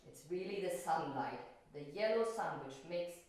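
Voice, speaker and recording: Older female art teacher, gentle and chatty, recorded from across a very echoey classroom. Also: fairly low pitch, animated, moderate pace. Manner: gentle, chatty, talking to herself while painting. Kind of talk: painting demonstration for children. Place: classroom in front of a chalkboard. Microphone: far camera. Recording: echoey room from far off.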